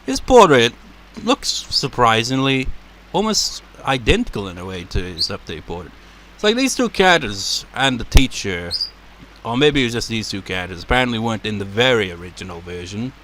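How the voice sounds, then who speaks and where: Animated, rambling let's play host. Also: male voice, moderate pace, slight background noise. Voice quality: naturally deep voice. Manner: rambling, laughing and swearing. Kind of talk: casual gameplay commentary.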